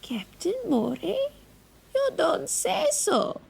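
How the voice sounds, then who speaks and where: A woman playing a prim, indignant rooming-house landlady who scolds and hushes in a comedic radio-style audio drama. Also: very high voice, huge pitch swings, takes it slowly, vocal fry, exaggerated voice. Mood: fussy. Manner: fussy, scolding, hushing.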